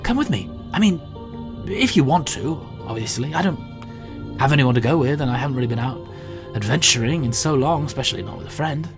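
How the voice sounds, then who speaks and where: A man plays an eager, awkward alien time traveller in an audio drama. His medium-pitched voice swings widely, halting and earnest, kind yet haunted by war, with music underneath. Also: expressive, lightly acted. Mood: eager, hopeful.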